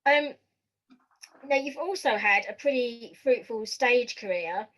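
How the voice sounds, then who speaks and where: A woman's voice: fairly high pitch, expressive, a little slow, a bit bright, poor audio. A woman hosting a podcast, asking questions in a conversational interviewing style.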